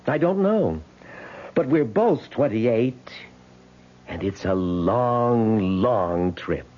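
An actor playing a coaxing American man in his twenties in a radio drama, talking slowly and teasingly. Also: lowish voice, animated, a little hiss or hum. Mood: wary, amused. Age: 20s. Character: smooth-talking 28-year-old man wooing a woman. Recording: muffled vintage radio broadcast.

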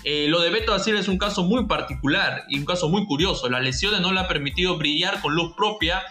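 A man from Peru hosts a football talk show, speaking in a bright, forceful, fairly high voice. He lays out firm opinions with plenty of emphasis. The sound is roomy and echoey, with faint music underneath. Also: fairly quick. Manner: opinionated, emphatic, uses Peruvian sports slang. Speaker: sports show host. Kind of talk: casual sports talk commentary.

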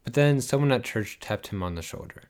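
A man telling a life story in scripted narration, with brisk summaries. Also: mid-range voice, lively intonation, darkish tone.

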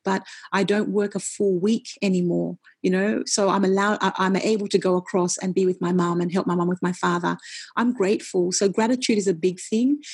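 A middle-aged woman, a wellness coach, talking in a casual interview, forceful and passionate, with many quick restarts. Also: mid-range voice, husky. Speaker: nutritionist and wellness coach, guest.

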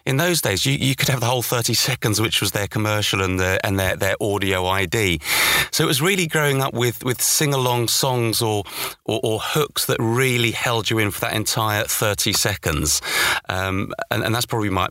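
Bright, low-voiced British man. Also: normal speed, no room sound, studio quality. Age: middle-aged. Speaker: interview guest, audio journalist and voice-over artist. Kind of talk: conversational interview answers.

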